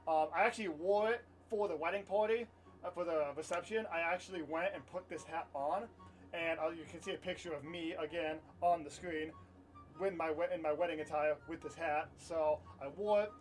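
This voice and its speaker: A man's voice: a little high, fairly even intonation, normal speed, distant mic, rough recording. A man telling a personal story casually to camera in an informal, rambling way, repeating himself and swearing now and then. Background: light music.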